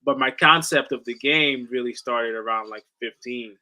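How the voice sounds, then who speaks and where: A man in his late twenties talks sports in a casual, slangy way, dropping swear words and "bro" as he goes. His voice sits at a middle pitch with a bright, forward edge. He comes from the Netherlands and moved to America at ten. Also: moderate pace. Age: late 20s. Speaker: sports watch-along streamer.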